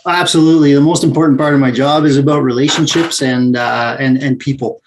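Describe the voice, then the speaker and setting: Man in his forties, mid-pitched, methodical interview answers. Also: fairly quick, background noise. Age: 40s. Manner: steady and methodical, frequent "uh" fillers. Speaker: guest, VP of mining and projects.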